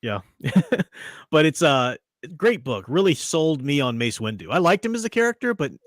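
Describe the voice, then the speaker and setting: A man's voice: on the high side, very animated, moderate pace, dry recording, clean studio sound. A man hosting a casual podcast chat, opinionated and chatty, laughing often. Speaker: podcast host.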